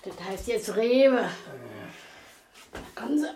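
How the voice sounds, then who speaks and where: An actress playing a brisk, teasing care nurse, coaxing and bossy in a naturalistic radio drama. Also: female voice, a little high, animated. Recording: clear noise and water behind the voice.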